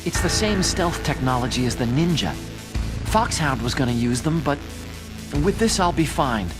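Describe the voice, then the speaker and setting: A man's voice: medium pitch, animated, normal speed, close to an everyday voice, low-quality recording. A man voicing an earnest, nerdy, anxious young scientist in a video game, speaking with open emotion. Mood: eager. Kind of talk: dramatic video game voice acting. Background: background music.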